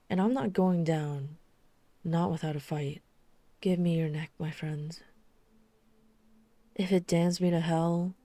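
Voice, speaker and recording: Slow, dark-toned tormented vampire huntress, acted by a woman. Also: on the low side, natural voice. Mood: grim resolve. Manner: slow, halting, dramatic pauses, breathy sighs. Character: tormented female vampire hunter, guilt-ridden, growing dark and savage. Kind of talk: intimate ASMR roleplay audio drama.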